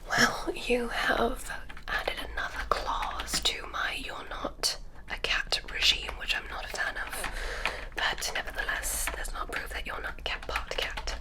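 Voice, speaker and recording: A woman voicing a curious alien examiner who tests a human, speaking in a soft, clinical ASMR whisper. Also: a little high, full character voice, rough recording. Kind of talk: ASMR medical roleplay.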